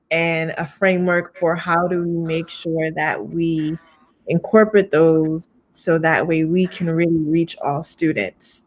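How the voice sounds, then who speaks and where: A woman in her thirties hosting an education webinar over a video call, chatty and animated as she reads out audience questions. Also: on the low side. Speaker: webinar host. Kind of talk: webinar talk.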